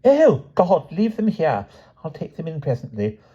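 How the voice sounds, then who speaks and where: A man's voice: high voice, big pitch swings, drawn-out words, mellow, lo-fi sound, voice pushed up, exaggerated voice. A male narrator voicing a cold, dismissive, aristocratic young pregnant woman with an upper-class British accent.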